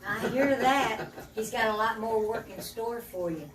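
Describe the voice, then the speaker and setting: A woman hosting a casual interview, who speaks of her guest with warmth and admiration. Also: a little high, unhurried. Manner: warm, admiring. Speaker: interview host. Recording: echoey room, distant mic.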